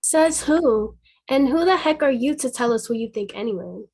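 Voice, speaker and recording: A woman reading the part of a sassy teenage sidekick girl with a breathy voice. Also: on the high side, expressive, normal speed, a little mellow. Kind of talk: table read of a teen drama.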